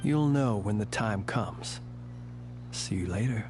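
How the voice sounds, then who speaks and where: A man's voice: on the low side, takes it slowly, music in the background. An actor playing a composed, courteous, mysterious soldierly man in a video game, speaking formally and with measure, over a noisy hum. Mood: calm, resolute. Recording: clear hum and background noise.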